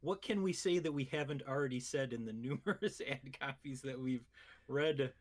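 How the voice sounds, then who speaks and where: A man's voice: mid-range voice, moderate pace. A man co-hosting a podcast, chatting casually as he joins in a sponsor read. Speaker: podcast co-host. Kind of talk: casual conversational ad banter.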